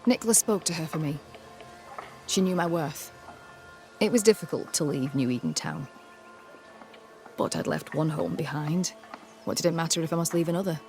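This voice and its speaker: A woman's voice: sits low, expressive, normal speed, pauses often, a little mellow, soft background music. A woman voicing a proud, pious colonial healer with a prim, curt and formal manner in a video game. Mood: guarded, proud.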